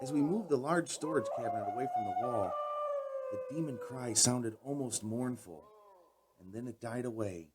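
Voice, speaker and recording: A man's voice: mid-range voice, huge pitch swings, moderate pace, noisy background. A man acting in a radio drama as an earnest, shaken young man recounting a frightening night. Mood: tense recounting.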